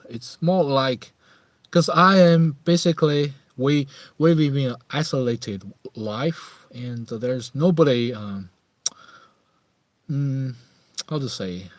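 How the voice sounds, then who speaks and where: A man with a Chinese accent talks in a close, dry recording at an unhurried, slow pace. He hosts a casual live chat, thinking aloud in halting phrases that he often repeats. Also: mid-range voice, no echo. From Harbin, northeast China. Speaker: livestream host.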